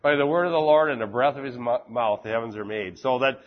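A man teaching an informal Bible class, chatting with the group and reading scripture slowly and deliberately. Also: medium pitch, highs cut off. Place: classroom with fans running.

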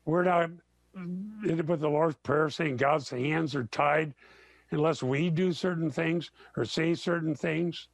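Older male radio pastor, gravelly and a little high-pitched, earnest emphatic Bible teaching. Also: slow. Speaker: radio show host and pastor.

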